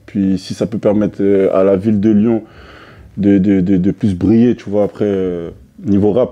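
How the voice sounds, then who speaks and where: A young man from French Guiana with a very dark, mellow voice pitched fairly low. He talks about himself in a loose, rambling way, pausing often on fillers. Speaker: rap contestant. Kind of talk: casual self-introduction.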